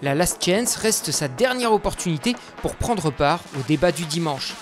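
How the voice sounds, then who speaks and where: A man narrating a motorsport documentary in a steady, scripted voice-over. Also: fairly high pitch, normal speed. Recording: voice-over with music underneath.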